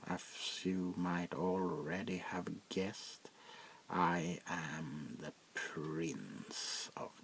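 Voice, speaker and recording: A man playing a jaded, sardonic aristocratic vampire prince in a soft-spoken ASMR roleplay, gravelly and often dropping to a whisper, languid and mockingly courteous. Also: deep voice, a little hiss or hum, heavily acted, rough recording. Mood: bored, courteous.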